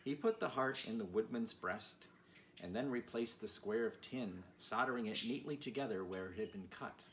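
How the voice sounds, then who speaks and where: A man's voice: lowish voice, moderate pace, fairly bright tone, low-quality recording. A man reading a children's storybook aloud as narrator. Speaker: audiobook reader. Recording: clear background noise.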